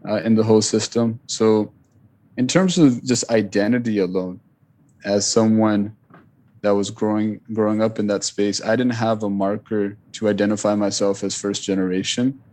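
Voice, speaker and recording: Low, flat-voiced reflective man. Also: moderate pace. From Richmond, Virginia, US. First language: Punjabi. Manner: thoughtful, with frequent fillers and restarts. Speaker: author and interview guest. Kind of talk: reflective interview talk.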